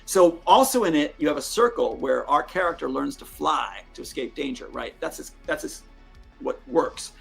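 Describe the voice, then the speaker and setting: A man's voice: a little high, animated, normal speed, gravelly, fairly bright tone, breathy edge, faint music behind. A man in a played interview clip, rambling through a story and tripping over repeated words.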